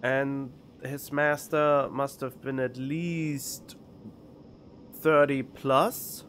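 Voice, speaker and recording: A man's voice: medium pitch, fairly even intonation, extremely slow, fairly bright tone, a little hiss or hum. A man giving casual let's play commentary as a game streamer, musing and thinking aloud into a close mic. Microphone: close studio condenser microphone. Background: faint game music.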